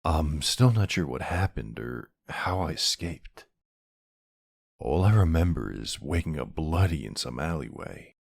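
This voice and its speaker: A man playing a brooding, centuries-old vampire hunter of his own kind in an ASMR roleplay, speaking in a gravelly, deliberate, halting way. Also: a bass voice, no echo, full character voice. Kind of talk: ASMR audio roleplay, scripted dramatic monologue.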